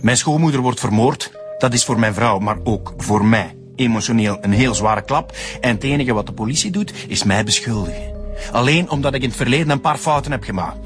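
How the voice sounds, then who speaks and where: A man's voice: medium pitch, lively intonation, fairly quick, music in the background, natural voice. An actor playing a slippery, indignant murder suspect protesting his innocence in a Flemish radio drama. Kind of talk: naturalistic radio drama acting.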